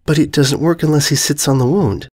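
A man voicing a shy, gentle female cartoon pony, soft-spoken, hesitant and apologetic, in a story reading. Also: a little high, a little fast, breathy edge, pitched above the performer's own voice.

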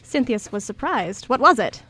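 A woman's voice: fairly high pitch, very animated, normal speed, fairly bright tone, husky. A woman reading scripted story narration as the narrator of a radio play.

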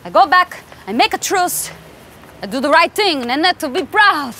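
A woman's voice: sits high, very animated, normal speed, pushed and bright, heavily acted. A woman playing a pushy Italian male pasta company boss in broken English with a put-on Italian accent. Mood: bossy. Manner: broken English, bossy and blunt. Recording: noisy background.

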